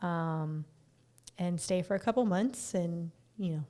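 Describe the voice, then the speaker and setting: A woman co-hosting a podcast in casual, low-key chat, with a slightly raspy voice and sounding a little tired. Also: a little slow, warm tone. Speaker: podcast co-host.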